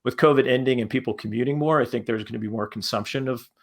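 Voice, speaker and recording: A man talking casually as a panelist, in a halting manner that circles back over his phrases. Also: mid-range voice. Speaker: panelist, podcast creator.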